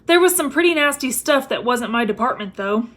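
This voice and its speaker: A woman's voice: sits high, expressive, a little fast, a bit bright. A woman voicing a mild, good-natured middle-aged wizard father with a hesitant manner in a storybook reading. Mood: weary.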